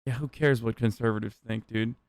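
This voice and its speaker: A man's voice: medium pitch, fairly even intonation, a little fast, gravelly, a little mellow, no room sound. A young man from Turkey doing casual livestream commentary, ranting loosely and swearing for emphasis. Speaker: streamer reacting to a video.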